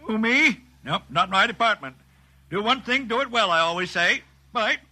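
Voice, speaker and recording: A breezy, folksy little repairman from an old radio sci-fi play. He is high and sharp in pitch and tone, colloquial, brisk and pleased with himself. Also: lively intonation, a little hiss or hum, full character voice. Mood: breezy, smug. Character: folksy, breezy, self-satisfied small-time repairman. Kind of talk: 1950s radio acting.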